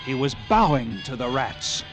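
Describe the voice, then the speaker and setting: A man's voice: medium pitch, sing-song, a little slow, over music. An actor in an old-time radio drama playing a haunted lighthouse keeper who narrates his horror tale in a brooding, dramatic way. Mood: grim, horrified.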